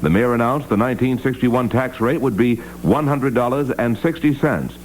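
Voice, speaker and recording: A man reading local news from a script in a formal, measured 1960s radio newscast manner. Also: medium pitch, rough recording. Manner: formal, measured announcer delivery. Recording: 1960s AM radio aircheck, faint hum.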